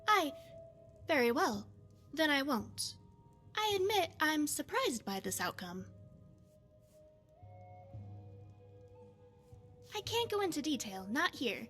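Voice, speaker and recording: Woman playing high-voiced, lilting, secretive female spy. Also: bright, forceful tone, full character voice. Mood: composed, discreet. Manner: formal, measured, deliberate phrasing. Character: poised, secretive female spy devoted to her goddess. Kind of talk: scripted video game character dialogue.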